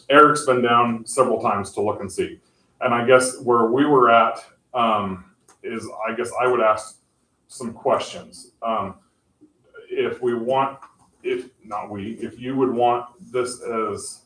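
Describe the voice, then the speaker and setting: A man explaining tech options to a city council, halting and full of fillers and restarts. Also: mid-range voice. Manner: says he has a loud voice. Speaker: tech presenter at council meeting. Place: council meeting room. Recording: echoey room, far from mic.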